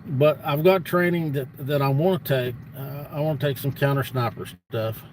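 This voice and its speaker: A man's voice: medium pitch, steady tone, normal speed, darkish tone. Blunt man in his fifties, noisy background. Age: 50s. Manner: blunt, folksy, emphatic repetition. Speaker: livestream host. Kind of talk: casual rambling monologue to viewers.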